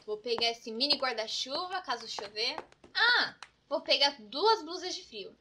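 A woman with a Brazilian accent voicing an excitable, scatterbrained best friend in a children's comedy cartoon, dramatic and full of exclamations. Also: sits high, measured pace, noisy background. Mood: fretting. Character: excitable, scatterbrained, over-prepared young female best friend.

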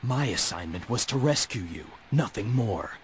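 A man's voice: fairly low pitch, expressive, normal speed, slight background noise, natural voice. A man voicing a brooding, stoic hedgehog antihero in a video game, terse, cold and serious. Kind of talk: video game cutscene acting. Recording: music under the voice.

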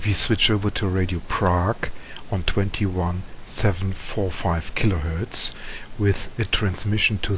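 A man with a German accent narrating an explanatory radio tutorial in a measured, halting way. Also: low voice, fairly bright tone, a little hiss or hum. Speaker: amateur radio presenter.

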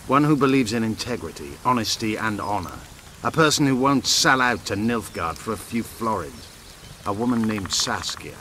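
A proud elven guerrilla leader from a fantasy game. His lowish voice is sharp and forceful, with grave, bitter declamation. There is faint noise behind him. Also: expressive, normal speed. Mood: proud, fervent. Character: proud, bitter male elven rebel commander. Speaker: video game character actor. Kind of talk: game dialogue acting.

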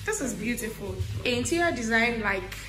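A woman's voice: on the high side, expressive, normal speed. A young woman with a Ghanaian accent, chatting casually and asking questions as a vlogger on a phone. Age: young adult. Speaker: restaurant review vlogger. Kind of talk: casual vlog chat with questions. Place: lively restaurant, outdoor areas and lounge. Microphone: handheld phone. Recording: loud music and crowd behind.

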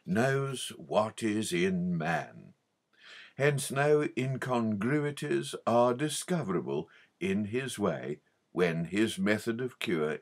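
A man reading an old theological book aloud as an audiobook narrator, in an expository manner with a husky voice. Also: low-pitched. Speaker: audiobook reader.

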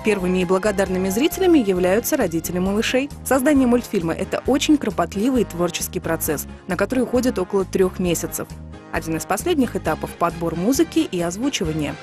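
Female TV reporter, scripted voice-over, mid-pitched, clear music underneath. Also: lively intonation, fast, darkish tone. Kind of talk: scripted narration of a TV feature.

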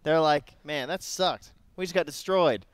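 A man's voice: on the high side, measured pace. A man casting esports with playful, joking banter on a headset. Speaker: esports caster. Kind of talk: casual banter on a game broadcast.

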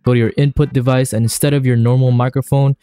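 A man narrating a casual software tutorial in a slangy, enthusiastic way. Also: medium pitch, little pitch movement, quick pace, clean tone, no echo. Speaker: YouTuber host. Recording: music under the voice. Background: background music.

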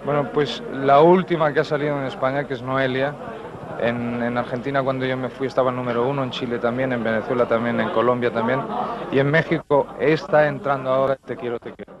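A young man from Spain, a singer answering press questions in an easy, measured way with some fillers, recorded on an interviewer's handheld mic. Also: medium pitch, crowd noise. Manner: relaxed, measured replies with fillers. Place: recording studio, later outdoors at an airport. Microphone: handheld mic held by interviewer. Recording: muffled 1970s TV film sound.

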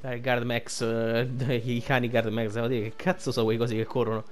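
A man's voice: mid-range voice, level tone, moderate pace, soft background music. A man giving colloquial, rambling, casual gameplay commentary. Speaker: gaming commentator.

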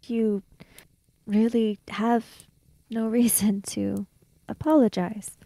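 Woman game player, gravelly edge, slow delivery, improvised role-play storytelling. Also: a little high, flat delivery, dark tone, no room sound.

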